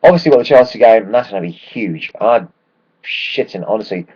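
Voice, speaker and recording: A man, hoarse from shouting at a match, ranting excitedly and swearing freely in a casual football vlog. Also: lowish voice, fairly quick, highs cut off. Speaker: football fan vlogger.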